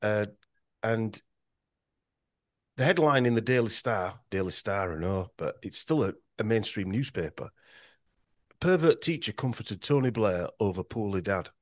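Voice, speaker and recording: Low-voiced Yorkshire man, rambling. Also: lively intonation, a little slow, long pauses, no echo, clean studio sound. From Yorkshire, England. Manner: rambling with frequent restarts and stumbles. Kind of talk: podcast conversation.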